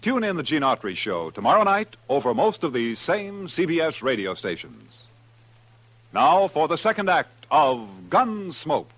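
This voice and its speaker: A man's voice: fairly high pitch, sing-song, unhurried, fairly bright tone, slight background noise. A man announcing in a scripted 1950s network radio style. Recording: dull vintage radio broadcast.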